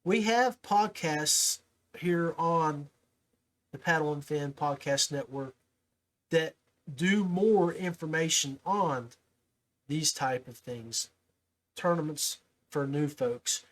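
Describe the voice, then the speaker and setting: A man's voice: fairly high pitch, slow pace, pauses often, a bit bright, rough recording. An American man hosting a podcast, talking casually in folksy, informal speech. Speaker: podcast host. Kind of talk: casual podcast talk and interview.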